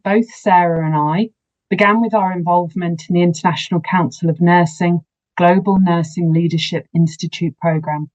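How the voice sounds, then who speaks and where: A woman, a nurse giving a measured conference talk over a video call. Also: lowish voice, a little mellow, highs cut off. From New Zealand. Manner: measured, formal greeting in Māori. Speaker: nurse practitioner candidate. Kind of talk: conference presentation.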